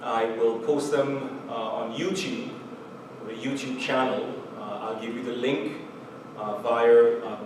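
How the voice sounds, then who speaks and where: A middle-aged man with a Trinidadian accent speaks slowly and earnestly as a professor, often stopping on "uh" and "um" and going back over his words. He sounds distant from the mic, in a room with strong echo and a clear layer of background noise. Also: medium pitch, fairly even intonation. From Trinidad and Tobago. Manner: measured, earnest, with frequent "uh" and "um" and repeated words. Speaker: chemistry professor. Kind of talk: recorded university lecture.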